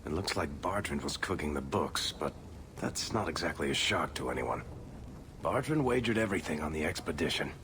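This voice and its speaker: A man's voice: a bass voice, lively intonation, brisk, noisy background. A man voicing a wry, loyal dwarf rogue and storyteller in a video game cutscene, with a dry, sardonic manner. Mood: wry, musing.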